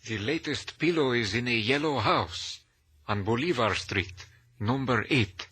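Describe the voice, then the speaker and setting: A man playing a knowing, courteous Cuban patriarch, speaking measured broken English in radio drama style. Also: mid-range voice, lively intonation, bright, forceful tone, husky, no echo, full character voice. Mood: calm, knowing. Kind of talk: 1950s radio drama acting.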